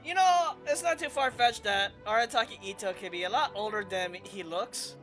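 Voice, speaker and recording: A woman's voice: mid-range voice, moderate pace, a bit bright, faint music behind. A woman giving casual live commentary as she streams a video game.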